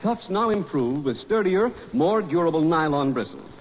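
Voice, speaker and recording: A man announcing on a 1940s radio show, reading a sponsor's sales pitch with polished, emphatic delivery. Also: animated, normal speed. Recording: muffled old radio recording, hall reverb.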